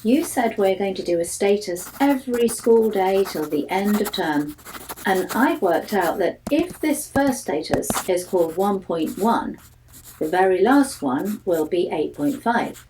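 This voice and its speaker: A woman's voice: mid-range voice, animated, measured pace, a little mellow, a little hiss or hum, lightly acted, low-quality recording. A woman voicing an anxious, candid schoolboy reading out his diary in a chatty, confiding way for a children's audiobook. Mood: earnest, chatty. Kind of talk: first-person children's audiobook narration.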